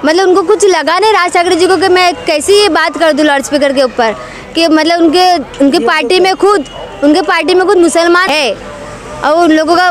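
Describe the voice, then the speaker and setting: High-pitched, insistent woman making a political appeal. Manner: insistent, admonishing, repetitive appeals. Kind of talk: impassioned unscripted political statement to camera.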